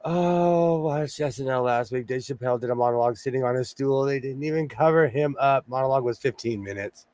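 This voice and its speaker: A man in his forties hosting a casual sewing livestream, hoarse and losing his voice, joking and laughing often as he reads the chat. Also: medium pitch, a little fast, darkish tone, dry recording.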